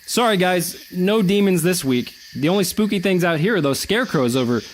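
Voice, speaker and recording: A man playing an excitable, geeky adventurer in a comedy audio drama, chatty and enthusiastic. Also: high voice, brisk, slight background noise, poor audio. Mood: fannish.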